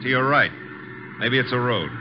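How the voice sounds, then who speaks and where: An American actor playing a breezy, wisecracking detective's assistant in a 1950s radio drama. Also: male voice, medium pitch, lively intonation, normal speed, lightly acted. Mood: wry, uneasy. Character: wisecracking, easygoing male detective's legman. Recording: noisy, muffled vintage radio recording.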